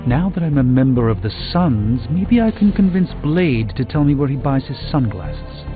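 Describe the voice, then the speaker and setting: An actor playing a learned, composed sorcerer in a video game, speaking formally and articulately with dry wit. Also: male voice, medium pitch, lively intonation, normal speed, narrow, tinny audio, natural voice. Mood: dryly wry. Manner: articulate, formal, dryly wry. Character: learned, composed, dryly witty adult male sorcerer. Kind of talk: video game character dialogue. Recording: thin band-limited audio under loud music.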